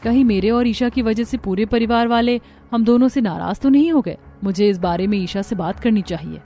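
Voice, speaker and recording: A woman's voice: on the high side, fairly quick, dark tone. A woman voicing a young daughter-in-law in a cartoon family story, with an emphatic, earnest delivery. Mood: worried.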